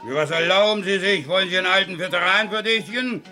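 A cantankerous old soldier in a vintage radio crime play. His voice sits fairly high with a hard, forceful edge. He is gruff, boastful and easily offended, with faint music behind him. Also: fast talker. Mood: indignant. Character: grumpy, defiant old war veteran. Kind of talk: German radio crime drama acting.

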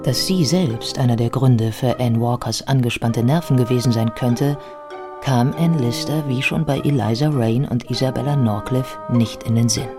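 A man playing a sober biographer who narrates a historical life in a measured, factual way for a radio drama. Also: mid-range voice, over music, lightly acted.

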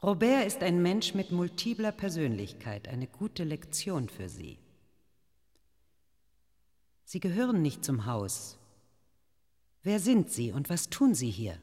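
An actress playing a stern, brusque clinic doctor in a radio drama, scolding and commanding. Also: female voice, lowish voice, very animated, takes it slowly, long pauses, warm tone, in a large hall. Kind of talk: German radio drama acting.

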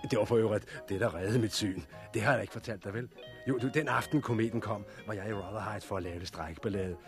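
An actor playing a blunt, forceful, foul-mouthed leader of disaster survivors in a 1960s Danish radio drama, with a gruff, persuasive way of speaking. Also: male voice, on the low side, music in the background, close to an everyday voice. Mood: rueful, wry.

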